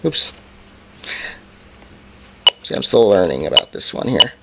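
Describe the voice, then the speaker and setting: A man's voice: lowish voice, big pitch swings, measured pace, gravelly, heavy background noise. A man giving a casual hands-on product review as its presenter, in an informal, hesitant and somewhat apologetic way.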